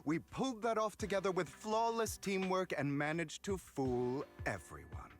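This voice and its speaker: An actor playing a sly, smug young trickster in a video game, speaking with teasing mock politeness and theatrical flair. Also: male voice, high voice, lively intonation, normal speed. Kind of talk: dramatic video game acting. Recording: game music underneath.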